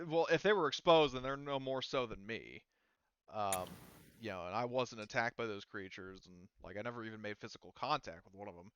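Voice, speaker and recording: A man's voice: medium pitch, a bit bright, smooth, no room sound, highs cut off. A man improvising narration as game master in a casual, rambling, hesitant way.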